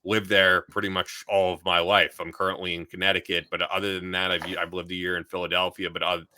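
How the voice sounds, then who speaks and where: Podcast host guy, really low voice but bright and forceful, dry sound. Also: flat delivery, brisk, no echo. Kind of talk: interview conversation. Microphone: studio mic on video call.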